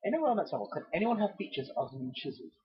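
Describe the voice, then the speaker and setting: A man's voice: a little high, sing-song, racing. A man chatting casually and jokingly as a co-reader, often stammering and restarting his phrases. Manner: stammering, repeats phrase starts. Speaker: co-reader and commentator. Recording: dull, muffled lo-fi recording.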